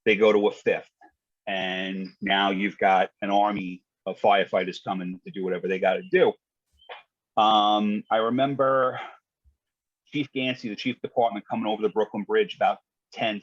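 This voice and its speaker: A husky-voiced man recounts things in a matter-of-fact, colloquial way, with some salty language. He sounds like a podcast guest telling stories. The audio is dry and a little dull. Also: fairly low pitch, no room sound. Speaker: guest, retired fire dispatcher. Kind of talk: conversational podcast storytelling.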